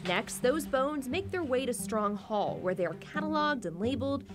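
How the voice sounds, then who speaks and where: A woman news reporter reading a scripted voice-over in a measured, deliberate way. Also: on the high side, animated. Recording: voice-over with music bed.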